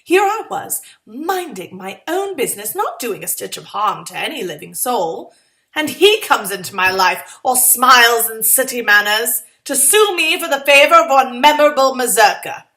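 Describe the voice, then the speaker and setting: Exasperated twenties-era young woman played by a female reader, high and bright, sarcastic, dramatic inner monologue. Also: sing-song, moderate pace, full character voice. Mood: bitter, self-pitying. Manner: sarcastic, melodramatic. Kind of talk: dramatic first-person short story reading.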